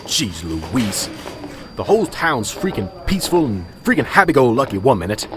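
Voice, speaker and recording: A man playing a gruff, stressed, exasperated police sergeant in a radio drama, ranting in folksy mild oaths. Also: medium pitch, sing-song, moderate pace, background noise, close to an everyday voice.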